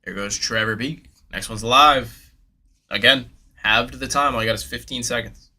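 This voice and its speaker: Young guy hosting a card break stream, kinda nasal, super bright voice, hyping up chat. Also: mid-range voice, moderate pace. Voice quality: slightly nasal. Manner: hyped, slangy, talks straight to viewers. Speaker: live card break host. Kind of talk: casual livestream chatter.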